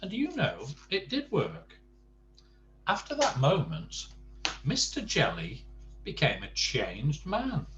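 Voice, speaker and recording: An older man reading a bedtime story to grandchildren, expressive, with chatty asides and questions to the young listener. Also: on the high side, slow, background noise.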